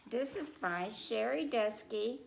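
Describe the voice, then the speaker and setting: A woman's voice: a little high, expressive, slow, creaky, a little hiss or hum. A woman presenting a children's storytime, reading picture books aloud and breaking off to speak directly to young listeners with simple questions and repetition.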